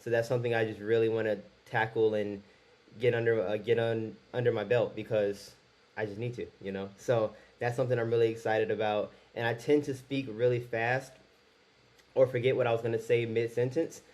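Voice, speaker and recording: A man's voice: medium pitch, level tone, brisk, a little mellow. A man in his twenties talking candidly to camera in his first video, rambling a little and restarting his sentences. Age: 20s. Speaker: YouTuber. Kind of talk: casual talk to camera.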